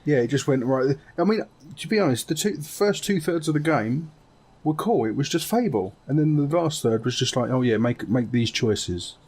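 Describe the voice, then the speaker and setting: A man with an average-pitched voice hosts a podcast. He talks casually, as in a relaxed group conversation. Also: normal speed, husky. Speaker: gaming podcast host. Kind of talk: casual chat.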